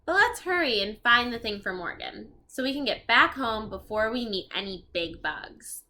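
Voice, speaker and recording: A woman's voice: fairly high pitch, expressive, normal speed, pushed and bright. A woman voicing a bold, carefree young girl in a children's storybook, breezy and urging. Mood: eager, impatient.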